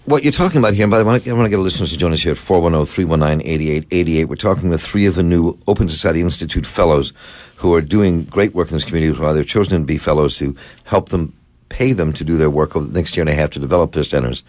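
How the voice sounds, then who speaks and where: A man with a very low voice hosts a call-in radio program from the studio. He circles and restarts his sentences, repeating words as he gropes toward each question. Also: rapid-fire, a little mellow. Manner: rambling, repeats words while searching for a point. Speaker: radio talk show host.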